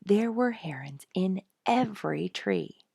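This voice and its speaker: A woman's voice: mid-range voice, lively intonation, slow, gravelly, darkish tone, breathy edge, no echo, studio quality. A woman storyteller reading a scripted nature narrative aloud.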